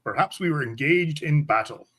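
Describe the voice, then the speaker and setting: A man's voice: a little high, lively intonation, moderate pace, fairly bright tone. A man playing a composed, formal starship officer in an amateur radio play. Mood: commanding.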